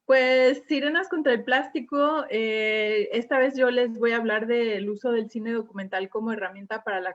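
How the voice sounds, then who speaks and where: A middle-aged woman from Mexico, a dive instructor, giving an online talk in a relaxed way with many fillers and pauses. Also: medium pitch. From Mexico, Quintana Roo. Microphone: video call. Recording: muffled video call audio.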